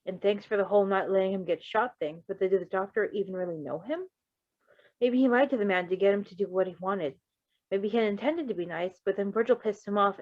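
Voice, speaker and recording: A woman reading fan fiction aloud in an expressive way, breaking off into casual, sweary asides. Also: medium pitch. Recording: dull lo-fi microphone.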